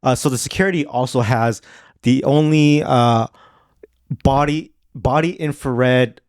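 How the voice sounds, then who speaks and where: A man's voice: mid-range voice, measured pace, darkish tone, clear, resonant tone, no room sound. A man in casual podcast chat, loose and joking, tripping over his words now and then. His voice sits mid-range and sounds close and dry, with no room around it. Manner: loose and joking, trails off and restarts. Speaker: podcast co-host and realtor. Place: podcast studio.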